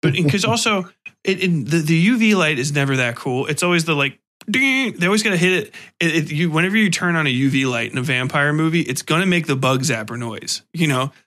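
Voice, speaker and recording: A man hosting a casual interview, talking in an animated, freely swearing way and acting out little sound effects. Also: a little high, fairly quick, hardly any pauses. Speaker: podcast host interviewing a guest.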